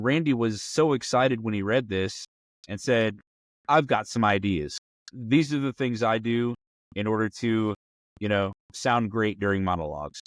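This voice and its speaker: A man's voice: mid-range voice, dry recording. Man hosting a show, close dry sound. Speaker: host of a tabletop role-playing advice show. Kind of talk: conversational talk show advice.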